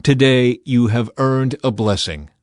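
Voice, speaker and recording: A man's voice: medium pitch, a little slow, no echo, close to an everyday voice. Guy reading as an earnest prophet leader, solemn and pleading, audio bible, really dry sound. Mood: grave, firm.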